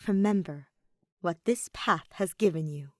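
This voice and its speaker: A woman voicing a gentle, quietly strong wife and mother, soft, tender and deliberate in an audio story. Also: lowish voice, no room sound, clean studio sound, close to an everyday voice.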